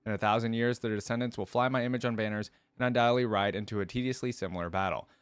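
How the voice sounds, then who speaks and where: Low-voiced man reading lore, close dry sound. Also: steady tone, rapid-fire, clean tone, no room sound. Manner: stops to dissect and paraphrase what he reads. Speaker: video creator reacting to game lore. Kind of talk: reading a story aloud with casual commentary.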